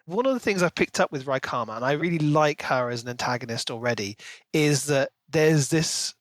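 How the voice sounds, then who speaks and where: A man's voice: on the high side, expressive, brisk, no room sound. A man co-hosting a podcast, discussing books casually in an articulate, analytical way. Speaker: podcast co-host.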